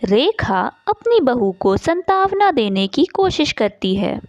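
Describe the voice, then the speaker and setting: A woman's voice: high-pitched, big pitch swings, slow pace, darkish tone, no echo, lo-fi sound. A woman narrating a moral story in a scripted, storybook style.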